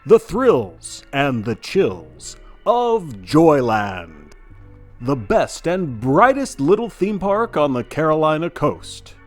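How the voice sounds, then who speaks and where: Man doing an animated, gleeful funfair ad announcer. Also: fairly high pitch, slow, soft background music. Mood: gleeful, salesy. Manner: showman-like, emphatic, gleeful. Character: booming, cheery male amusement park commercial announcer. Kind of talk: retro theme park advertisement.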